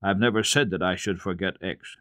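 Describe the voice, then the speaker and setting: A man's voice: low voice, a little fast, a bit bright, no echo, studio quality. A man voicing a sulky, stubborn young boy, grumbling and snappish, in a storybook reading.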